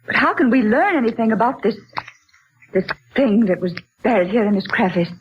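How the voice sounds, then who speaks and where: A woman's voice: a little high, lively intonation, brisk, close to an everyday voice. An actress playing an anxious, curious, refined young lady in a 1940s radio drama, hesitant and dramatic. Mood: anxious wonder. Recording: muffled, noisy 1940s radio recording.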